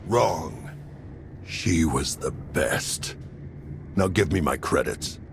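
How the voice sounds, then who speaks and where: An actor playing a gruff, menacing ex-soldier arms dealer with a deep gravelly voice in a video game. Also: male voice, animated, extremely slow, heavily acted. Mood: smug. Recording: music behind the voice.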